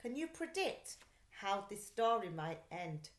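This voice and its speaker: A woman reading a children's storybook aloud like a teacher, in an expressive, deliberate way. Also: medium pitch. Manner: animated, deliberate storytelling delivery. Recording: roomy, echoey sound.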